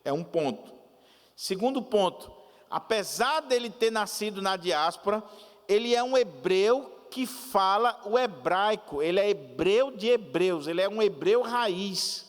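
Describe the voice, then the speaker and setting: High-voiced pastor preaching slowly in a reverberant hall. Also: male voice, lively intonation. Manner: deliberate, repeats and restates points, explanatory asides. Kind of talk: sermon.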